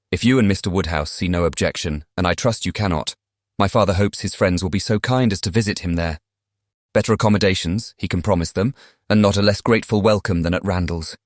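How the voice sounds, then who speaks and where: A man with a British accent playing a charming, persuasive young Regency gentleman in a dramatized audiobook, speaking politely and eloquently. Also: low-pitched, no room sound, clean studio sound, close to an everyday voice. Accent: British English.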